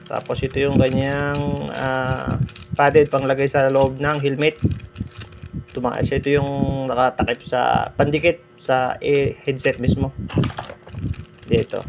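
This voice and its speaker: Male moto vlogger, mid-pitched, casual halting review, noisy background. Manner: relaxed, halting, many fillers. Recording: clear background noise.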